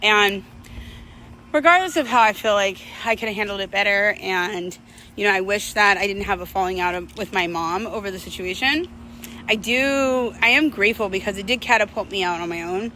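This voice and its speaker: Female vlogger, bright and clear-cut tone, casual confessional delivery with slangy, reflective phrasing; faint vehicle-like noise behind. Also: medium pitch, normal speed, poor audio. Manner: candid, reflective, conversational slang. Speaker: vlogger telling personal stories to viewers.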